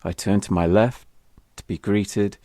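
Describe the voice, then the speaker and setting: A man's voice: fairly low pitch, fairly even intonation, measured pace, dark tone, dry recording, studio quality. A British man telling a scripted first-person story as an audio drama narrator, wry and confessional, with music behind him.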